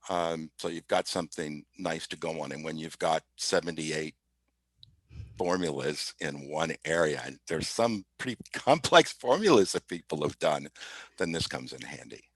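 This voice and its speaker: A man explaining software informally as an interview guest, methodical and somewhat halting in his delivery. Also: low voice, animated, no echo. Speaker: podcast guest, IT specialist. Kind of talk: informal software demo talk.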